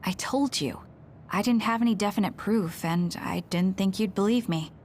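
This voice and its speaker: An actress playing a reserved, earnest young soldier in an anime video game dub. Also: female voice, mid-range voice, moderate pace, darkish tone, a little hiss or hum, close to an everyday voice. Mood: apologetic, hesitant.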